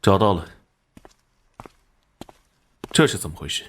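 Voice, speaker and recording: An actor playing a hot-tempered but rule-minded police captain in an animated crime drama, blunt and commanding. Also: male voice, sits low, unhurried, husky, full character voice. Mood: exasperated.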